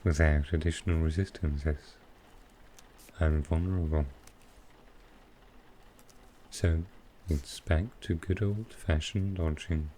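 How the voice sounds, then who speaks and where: A man playing a smooth, flirtatious supervillain in an intimate ASMR roleplay, teasing and unhurried. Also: very deep voice, fairly even intonation, slight background noise, rough recording. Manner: teasing, unhurried, softly suggestive. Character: smooth, flirtatious male supervillain courting his tailor.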